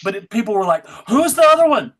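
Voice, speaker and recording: A woman's voice: on the high side, big pitch swings, fast talker. A woman panelist at a fan convention, chatting casually in a wry, joking way. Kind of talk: casual chat.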